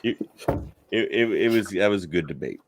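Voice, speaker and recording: Male, very low pitch, casual debate host, moderating, halting delivery. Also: animated, background noise. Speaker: debate show host and judge.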